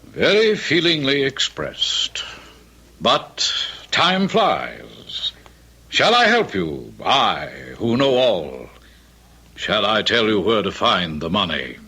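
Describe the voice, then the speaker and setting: A low, husky devil tempts with suave patience in a vintage radio play. The delivery is unhurried and coaxing, with expressive swoops that sound knowing. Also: male voice, exaggerated voice. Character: devilish supernatural tempter, a gentle, knowing male fallen angel. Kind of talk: old-time radio drama acting.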